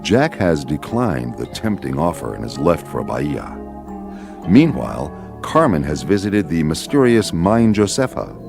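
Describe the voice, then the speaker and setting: A man's voice: a bass voice, very animated, measured pace, gravelly, full character voice. A man narrating a radio adventure serial in a solemn, dramatic scripted style. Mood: measured, dramatic. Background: music.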